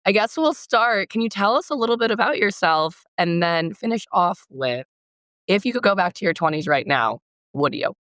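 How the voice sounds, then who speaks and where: A woman's voice: mid-range voice, animated, normal speed, a bit bright, no room sound, clean studio sound. A woman of twenty-five with an American accent, co-hosting a casual podcast chat in an informal way peppered with "like". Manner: informal, frequent "like". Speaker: podcast co-host, therapist.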